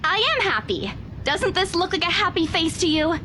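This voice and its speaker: Sarcastic young female realtor, visual novel game, high voice, lively swings, exasperated delivery. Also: normal speed, background noise. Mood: defensive, smug.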